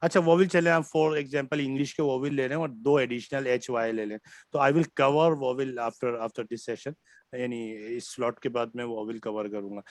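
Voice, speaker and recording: A man with a Pakistani accent teaches French over a video call. He explains slowly and patiently, mixing Hindi-Urdu with English and pausing on fillers. His voice sits at a middling pitch, and the room sounds dry and close. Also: fairly even intonation, no room sound. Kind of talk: online class lesson.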